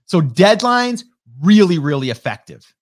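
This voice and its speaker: A man's voice: sits high, very animated, measured pace. Upbeat man in his forties coaching. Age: 40s. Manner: casual, keeps checking in with "okay?" and "right?". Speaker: marketing coach hosting a coffee talk. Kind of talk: motivational talk to an audience.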